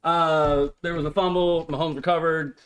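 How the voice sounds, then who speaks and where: Slightly high-voiced man, clipped and informal. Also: little pitch movement, normal speed, a bit bright, clean tone, rough recording. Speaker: co-host calling the game. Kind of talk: casual live play-by-play commentary and banter.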